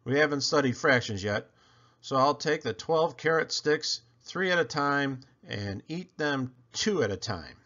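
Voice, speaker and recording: A man reading a picture book aloud in an animated storytelling style, with theatrical emphasis on questions and lists. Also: medium pitch, measured pace, lo-fi sound. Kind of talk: animated children's read-aloud narration.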